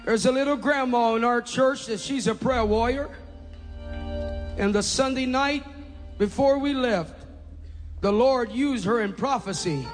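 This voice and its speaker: A high-pitched man whose first language is Portuguese preaches with rising fervor, his non-native English plain in the phrasing. His voice rings out in a large hall with a long echo. Soft music hums faintly behind him. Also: a little slow, pauses often. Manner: impassioned preaching, rising to shouts. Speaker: pastor. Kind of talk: Pentecostal sermon.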